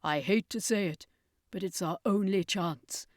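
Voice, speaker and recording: A woman voicing an earnest male circus master in an adventure story, a heroic leader speaking urgently and gravely. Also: mid-range voice, a little slow, no echo, studio quality, close to an everyday voice. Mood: grim, determined.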